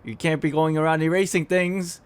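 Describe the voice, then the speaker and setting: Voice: medium pitch, fairly even intonation, normal speed, smooth. A streamer giving casual, playful commentary on a game playthrough, improvising along with the story.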